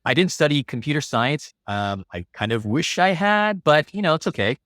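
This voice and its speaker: A man's voice: fairly high pitch, lively intonation, moderate pace, a bit bright, no room sound, clean studio sound. A man in his forties with an American accent, telling his life story as a podcast guest, casual and rambling with many fillers. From California.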